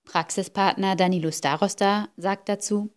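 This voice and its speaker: A woman reporter reading scripted narration in a clear, neutral way. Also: mid-range voice, fairly even intonation, normal speed.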